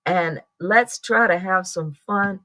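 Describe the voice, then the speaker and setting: A woman's voice: mid-range voice, slow, pushed and bright. A middle-aged woman hosting a casual morning livestream, rambling in a friendly way, greeting viewers by name and laughing often. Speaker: livestream host.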